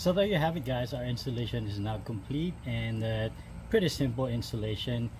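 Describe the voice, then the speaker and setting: A man's voice: medium pitch, lively intonation, brisk, background noise. A man hosting a DIY video, talking through the steps casually and unscripted, with small hesitations. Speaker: host of a DIY truck modification channel. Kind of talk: casual step-by-step how-to narration. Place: home garage.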